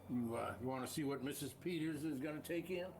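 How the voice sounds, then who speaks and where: A man's voice: medium pitch, fast, close to an everyday voice. A man playing an easygoing, jovial country sheriff in a stage play. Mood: casual, businesslike. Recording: noisy amateur recording.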